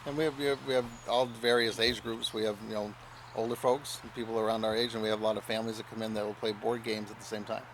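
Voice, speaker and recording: A man's voice: lowish voice, level tone, quick pace, a little hiss or hum, rough recording. A middle-aged man, co-owner of an inn, chatting affably and often repeating words as he talks. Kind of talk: casual conversation.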